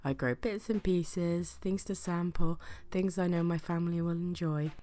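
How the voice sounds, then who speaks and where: A woman, sick with the flu and audibly breathy, chatting casually and self-deprecatingly as she narrates a gardening vlog. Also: fairly low pitch, steady tone, normal speed, dark tone. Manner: chatty, self-deprecating, with occasional whispered asides. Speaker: gardening vlogger.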